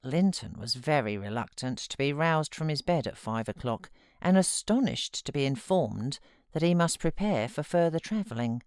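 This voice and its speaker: A British woman narrates literary fiction in a low voice. Her storytelling is measured yet animated, with a wide swing in intonation. The sound is close and dry, like a studio read. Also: clean tone, no room sound. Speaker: audiobook narrator, voiceover artist.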